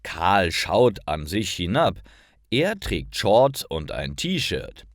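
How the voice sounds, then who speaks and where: A man narrating a scripted story for language learners, enunciating carefully and deliberately. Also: deep voice, very animated, no echo, clean studio sound.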